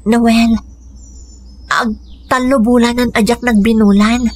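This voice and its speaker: Devoted young sweetheart and activist, higher register, Ilocano accent, heartfelt radio drama style. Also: female voice, fairly even intonation, takes it slowly. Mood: tender. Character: devoted young activist woman named Irene, deeply in love. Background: music under the dialogue.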